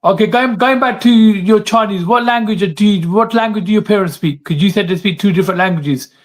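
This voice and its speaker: Man host from the UK, slightly high voice, curious, quick affirmations, on a video call. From United Kingdom. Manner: inquisitive, short affirmations. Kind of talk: casual interview chat. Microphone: remote video call.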